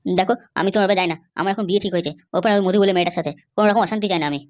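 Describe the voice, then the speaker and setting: নারীকণ্ঠে দ্রুতকথার খিটখিটে তরুণ হবু বর. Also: female voice, mid-range voice, very fast, natural voice. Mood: indignant. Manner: scolding, exasperated. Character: irritable, anxious young groom-to-be, reluctant friend. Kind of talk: comedy cartoon dialogue.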